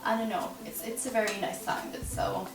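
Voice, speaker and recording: A woman's voice: medium pitch, very animated, fairly quick. A 21-year-old woman from the Netherlands with a Dutch accent and Dutch as her first language, chatting and rambling to camera with frequent giggles and fillers. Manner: chatty, rambling, giggly, with many likes and ums. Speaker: YouTuber answering a tag. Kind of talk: casual chat to camera. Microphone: camera mic at a distance. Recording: roomy, distant, with music behind. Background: music playing under the voice.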